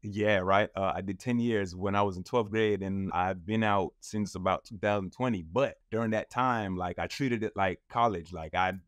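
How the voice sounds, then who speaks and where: A man's voice: deep voice, fairly even intonation, normal speed, few pauses, no echo, clean studio sound. A man in his thirties, a guest in a casual interview, telling his story in a loose, rambling way full of fillers. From United States. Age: 30s.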